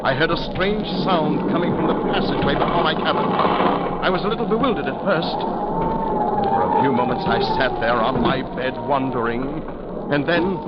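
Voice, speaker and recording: A man's voice: on the high side, natural voice. An actor playing a male ship passenger in a 1940s radio horror drama, telling and living his tale with dramatic, urgent gravity. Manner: dramatic, grave in narration, urgent in dialogue. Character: alarmed male ship passenger recounting a terrifying voyage. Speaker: narrator and lead character. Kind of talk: 1940s radio acting, first-person horror narration. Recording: muffled, noisy old 1940s radio recording.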